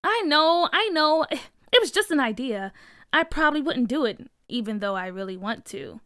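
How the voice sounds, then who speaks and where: A woman's voice: high-pitched, animated, moderate pace, pushed and bright, dry recording, clean studio sound. A woman voicing an eager, earnest young boy with a plaintive edge in a children's story. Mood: sulky, downcast. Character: eager young boy, daring but easily shaken. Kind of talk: acted children's story dialogue.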